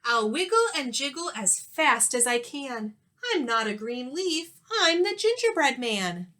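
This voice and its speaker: Woman reading as a plucky high-pitched gingerbread boy. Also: big pitch swings, measured pace, bright, forceful tone, full character voice. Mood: alarmed, resolute. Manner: sing-song, exclaiming. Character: plucky little gingerbread boy, eager and determined storybook hero. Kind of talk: children's storybook read-aloud.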